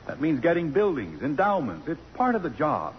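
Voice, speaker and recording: An American actor playing a defensive, compromising middle-aged college president in a 1950s radio drama. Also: male voice, a little high, huge pitch swings, moderate pace. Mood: exasperated. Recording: dull, noisy old radio broadcast recording.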